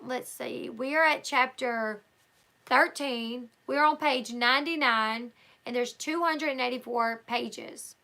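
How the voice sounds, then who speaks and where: A hoarse woman hosting a live stream, reading a book aloud and talking loosely with her chat. Her voice sits a little high and has a gravelly fry to it, and her tone is bright with an edge. She thinks out loud as she goes, with plenty of ums and restarts. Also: slow pace. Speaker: livestream host.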